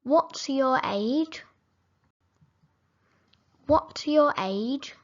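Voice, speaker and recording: A woman reading simple English phrases for learners, saying each sentence slowly and clearly, then repeating it. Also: sits high, expressive, muffled recording. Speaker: English teacher.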